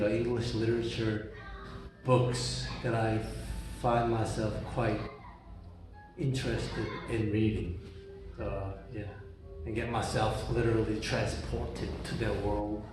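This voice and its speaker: Young man whose first language is Korean, unhurried rambling monologue, distant mic in a reverberant room. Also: mid-range voice, a little mellow. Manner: rambling, many restarts and fillers like "right?". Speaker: reader talking about books. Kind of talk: casual monologue. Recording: very echoey room, voice from far off.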